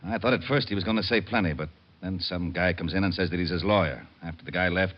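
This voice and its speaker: An actor playing a gruff, weary homicide lieutenant, matter-of-fact, in a vintage radio detective drama. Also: male voice, low-pitched, quick pace. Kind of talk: 1940s-50s radio detective drama acting. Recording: muffled old radio recording.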